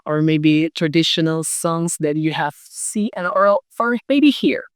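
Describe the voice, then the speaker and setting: A man's voice: a little high, measured pace, smooth, no room sound, studio quality. A man hosting a podcast interview, friendly and upbeat as he introduces guests and asks questions. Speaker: podcast host.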